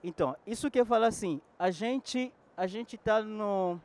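French-native man with a high voice, earnest panel guest on a handheld mic. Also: a little slow, darkish tone. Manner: earnest, searching for words. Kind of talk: casual talk at a public panel. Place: large indoor event hall.

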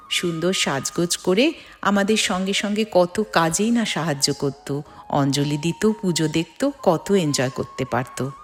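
A middle-aged woman narrates a personal vlog, addressing viewers as friends. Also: low-pitched. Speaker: vlogger. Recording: music under the voice. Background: background music.

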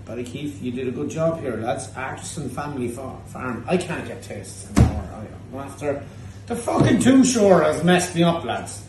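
Cheeky Irish pub host from Cork. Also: male voice, mid-range voice, expressive, normal speed, recorded from a distance. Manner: cheeky, teasing, swears freely. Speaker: host of a pub tasting show. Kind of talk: casual banter while tasting drinks. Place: indoor pub bar. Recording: echoey pub room with faint noise.

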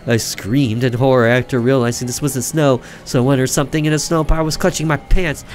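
A man's voice: medium pitch, steady tone, a little fast. A man reading a visual novel aloud as a gaming host, dramatic and theatrical, sometimes stumbling and rereading. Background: game music.

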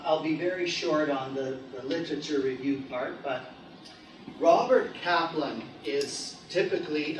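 A middle-aged man giving a measured conference research talk in a lecture room, pausing often. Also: on the high side, slight background noise. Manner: measured, many "uh" pauses. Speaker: academic presenter. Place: lecture room with projector screen. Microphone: far camera. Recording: echoey room from far off.

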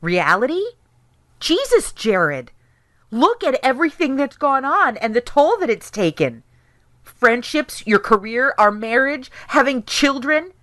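A woman's voice: high-pitched, sing-song, a little slow, fairly bright tone, no echo, heavily acted. A woman playing an anxious, frank estranged wife in an audio drama, pleading and insistent with emotion. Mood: confronting, exasperated.

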